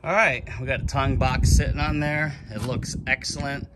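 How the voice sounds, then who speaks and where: A man hosting a casual how-to video, narrating a trailer upgrade outdoors in a relaxed, plain-spoken way while holding the camera. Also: medium pitch, moderate pace, background noise. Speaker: DIY channel host. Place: outdoors on a concrete driveway. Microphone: camera mic, one hand on camera.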